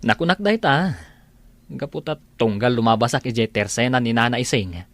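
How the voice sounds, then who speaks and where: Encouraging, pushy best buddy, Ilocano accent, mid voice, conversational radio drama. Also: male voice, mid-range voice, lively intonation, moderate pace, fairly bright tone, no room sound. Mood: urging. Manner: urging, chummy.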